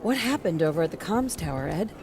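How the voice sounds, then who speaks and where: A steady, reassuring female medic from a sci-fi game, with a slightly low voice. Clear noise can be heard behind her. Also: a little fast. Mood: soothing. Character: calm, soothing female colony medic questioning patients. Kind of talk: video game cutscene acting.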